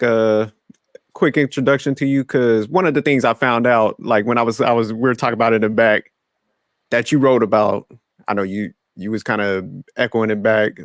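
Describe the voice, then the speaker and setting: A man's voice: mid-range voice, no room sound. A man co-hosts a casual after-work podcast, teasing his guest in easy, slangy talk. He restarts and repeats words as he talks, and the sound is dry and close. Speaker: podcast co-host.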